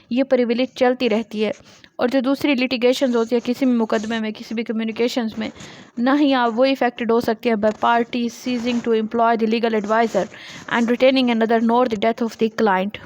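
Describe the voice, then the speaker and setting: A woman's voice: on the high side, darkish tone, muffled recording. A woman with a Pakistani accent giving an explanatory law lecture, didactic, repeating points and posing questions as she explains. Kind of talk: explanatory lecture, reading legal text aloud and explaining it.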